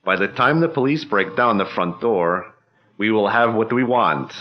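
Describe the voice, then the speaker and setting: An actor playing a cold, scheming bank-robber boss who gives clipped orders in a 1940s-style radio drama. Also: male voice, fairly low pitch, animated, moderate pace, highs cut off, very noisy, natural voice. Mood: menacing. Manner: curt, commanding, clipped orders.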